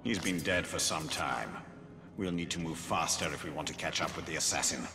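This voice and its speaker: An actor playing a cold, ancient elf wraith, grave and commanding, with a gravelly low tone. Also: male voice, fairly quick. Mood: urgent, stern. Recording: loud music behind.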